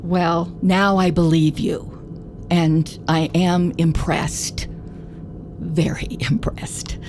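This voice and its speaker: An actress playing a candid, gently teasing love interest in a detective radio drama, speaking in a sincere, conversational way. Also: female voice, on the low side, level tone, drawn-out words, background noise. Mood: sincere, admiring.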